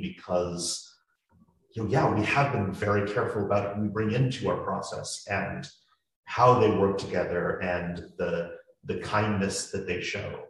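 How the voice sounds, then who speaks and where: A man talking earnestly about making a podcast drama, with many restarts, on a panel. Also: fairly low pitch. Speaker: podcast creator. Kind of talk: panel discussion. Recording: echoey room.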